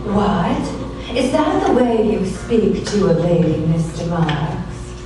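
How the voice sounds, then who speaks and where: A woman's voice: fairly low pitch, sing-song, slow pace. A woman playing a haughty glamorous film actress who fears scandal, in a theatrical, affected noir radio comedy style. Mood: haughty, poised. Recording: very echoey room, distant mic, clear noise.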